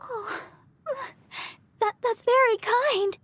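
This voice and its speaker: A woman's voice: extremely high pitch, fairly even intonation, very slow, full character voice. A woman voicing an anxious, sweet teenage girl in a video game, hesitant and earnest. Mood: shy, reassured. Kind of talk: English video game voice acting. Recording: loud music behind the voice.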